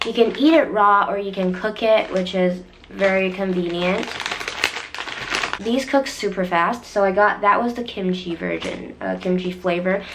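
A young woman in her twenties chatting casually to camera from a small bedroom, her voice still a bit deeper than usual after losing it. She fills her talk with "like" and often restarts and corrects herself. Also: a little slow, background noise. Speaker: vlogger. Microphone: camera in front at desk distance.

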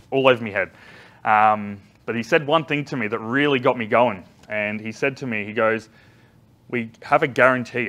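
Australian male trainer, 30s, loud, rambling storyteller with lots of ums, speaking live in a conference room, caught on a far camera. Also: mid-range voice. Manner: loud, chatty storytelling with many ums and restarts. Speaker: business trainer. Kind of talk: live talk to a seated audience. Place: large conference room indoors. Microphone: far camera, no visible mic.

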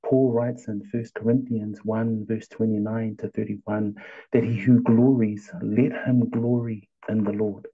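Man, Samoan accent, pastor, measured sermon, husky, muffled recording. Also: on the low side, level tone. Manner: measured, reads scripture slowly and deliberately. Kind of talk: bilingual devotional sermon.

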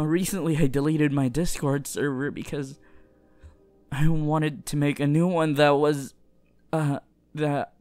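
Slow-talking, flustered teenage boy hero acted out. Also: mid-range voice, darkish tone, no echo, lightly acted. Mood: earnest, hopeful. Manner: stammering, hesitant, tender. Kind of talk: anime fan audio roleplay addressed to the listener.

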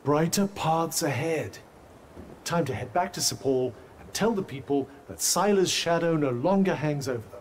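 Man voicing enchanted companion from a video game, fairly high male pitch, animated intonation, arch, formal, dry-witted. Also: normal speed, slight background noise, natural voice. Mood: dry, teasing. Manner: arch, formal, drily teasing. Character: urbane, dry-witted talking magical cuff, male companion.

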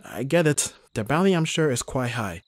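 A man playing a gruff, protective young bodyguard in an ASMR roleplay, with a husky voice and a sardonic, intimate delivery. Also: mid-range voice, sing-song, normal speed, no echo. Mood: urgent, commanding. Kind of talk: ASMR roleplay audio drama.

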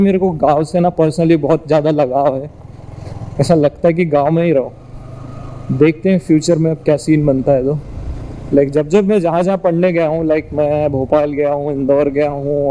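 A man's voice: a little high, warm tone, poor audio. A young man with an Indian accent chats informally as a motovlogger, mixing in English while he rides a motorbike outdoors. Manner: informal, chatty. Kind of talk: casual vlog chat while riding, Hindi mixed with English. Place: outdoors, riding a motorbike on village roads. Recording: clear vehicle and road noise. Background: engine and road noise.

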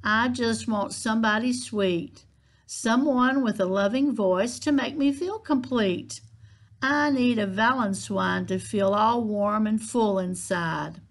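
A woman's voice: on the high side, slow. A woman voicing a lonely little female piglet who pleads wistfully for a sweetheart in a storybook reading. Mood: sighing.

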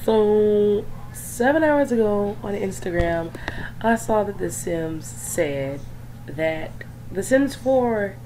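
A young woman, hoarse from being sick, chatting in a rambling, casual way with lots of fillers while talking over a screen recording. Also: mid-range voice, lively intonation, slow pace, dark tone, poor audio. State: hoarse from illness. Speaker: gaming YouTuber. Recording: faint background noise.

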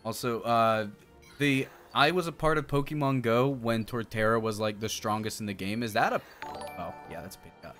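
A man's voice: on the low side, moderate pace, a bit bright. A man in his thirties bantering casually and profanely as he co-hosts a video game stream. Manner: playful, profane, bursts into song snippets. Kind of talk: casual banter over gameplay. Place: indoor streaming setup. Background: game music.

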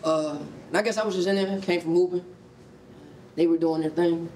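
A man's voice: a little high, fairly even intonation, normal speed, crowd noise. A young man making casual, playful, mock-boastful remarks to an audience. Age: young adult. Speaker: actor in staged reading. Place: indoor rehearsal hall, on stage. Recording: echoey, distant, audience noise.

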